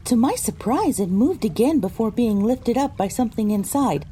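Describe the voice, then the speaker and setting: Mellow, slightly high woman as pony narrator. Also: expressive, a little hiss or hum, close to an everyday voice. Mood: measured. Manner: measured, descriptive storytelling. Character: female pony narrating her own adventure. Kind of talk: scripted first-person story narration.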